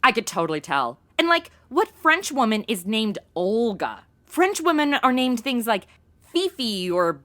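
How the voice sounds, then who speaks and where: A woman's voice: a little high, big pitch swings, unhurried, fairly bright tone. An actress playing a brash, ditzy modern young woman in a comedic audio drama, talking in blunt, slangy valley-girl phrases. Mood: sassy, clueless.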